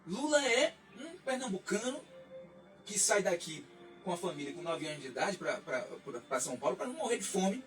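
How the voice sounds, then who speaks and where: A man's voice: high-pitched, very animated, moderate pace, fairly bright tone. Man, 40s, lecturing radio guest, distant mic, faint background music. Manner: reflective, lecturing, frequent restarts. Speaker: radio guest, party politician. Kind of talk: political discussion on radio. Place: radio studio.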